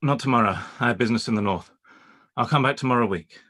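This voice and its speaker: A proud, brooding young gentleman, played by a man, speaks in clipped, reserved phrases. His voice is bright yet husky. It is a live-read radio play. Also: medium pitch, quick pace, close to an everyday voice. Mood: curt.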